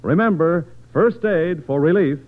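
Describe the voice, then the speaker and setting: An American male announcer delivers a polished, persuasive radio commercial. His pitch swings in a lively way. The sound is narrow and aged, like a vintage broadcast. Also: measured pace. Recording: narrow, lo-fi old radio recording.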